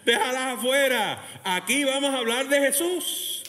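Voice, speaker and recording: A man preaching a lively sermon as pastor, laughing and acting out churchgoers' voices. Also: very high voice, unhurried, slight background noise. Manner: animated preaching with laughs and acted quotes of churchgoers. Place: church hall, Texas. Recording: long hall reverb.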